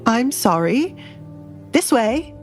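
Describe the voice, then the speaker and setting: A woman's voice: on the high side, very animated, takes it slowly, voice pushed up. A woman voicing an evasive, obsequious middle-aged baron in a romance audio drama. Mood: uneasy. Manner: deferential, evasive. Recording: background music under the voice.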